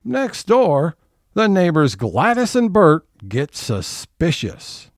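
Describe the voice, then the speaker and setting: A man's voice: sits high, wildly swooping pitch, takes it slowly, no echo, clean studio sound, heavily acted. A man giving scripted scene-setting narration for an audio theatre comedy.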